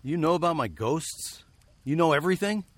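An actor playing a wary, lovelorn fugitive of the old American West in a radio drama, speaking in an earnest, questioning manner. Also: male voice, fairly high pitch, animated, normal speed. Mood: astonished. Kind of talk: radio drama acting with narration.

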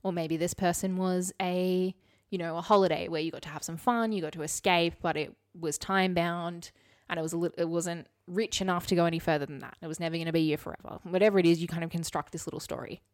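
A woman's voice: on the low side, fairly even intonation, quick pace. A woman co-hosting a podcast, chatting casually and summarising articles in an informal, explanatory way with wry asides. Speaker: podcast co-host.

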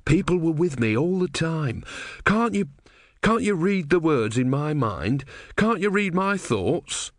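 Man doing storybook young novice, raised pitch, timid, earnest, devout, hesitant. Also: sing-song, unhurried, no echo, studio quality. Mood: anxious, hushed.

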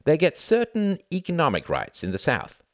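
Man reads confident young diplomat, brisk, lively, dry. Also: fairly high pitch, no room sound, voice pushed up. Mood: lecturing. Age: 20s. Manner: brisk, explanatory, self-assured.

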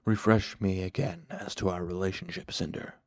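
Man voicing a cold, deep shadowed dark lord. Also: vocal fry, dry recording, clean studio sound. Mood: cool warning. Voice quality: cool, controlled voice. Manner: slow, patient, precise, sharpening to hard command. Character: shadowed hooded dark lord, coldly patient, quietly menacing.

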